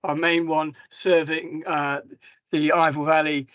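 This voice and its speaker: Hoarse man, service manager, halting webinar talk, higher voice, dry close sound. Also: flat delivery, a bit bright, no echo. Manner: hesitant, many ums and restarts. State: hoarse from a cough. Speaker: mental health service manager. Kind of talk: presenting slides in a webinar. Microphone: video call.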